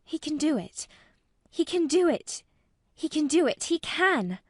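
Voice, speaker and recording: A woman's voice: high-pitched, sing-song, a little slow, no echo, clean studio sound, voice pushed up. A woman voicing a fiercely loyal, indignant young girl in a storybook, urgent and emphatic. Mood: urgent excitement.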